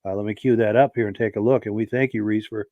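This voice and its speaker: A man hosting a podcast in a relaxed, chatty way, reading out viewer comments while his voice is hoarse from a sore throat. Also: on the low side, fast, no echo, phone-quality sound. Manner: relaxed, chatty, affirming with yeahs. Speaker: podcast host.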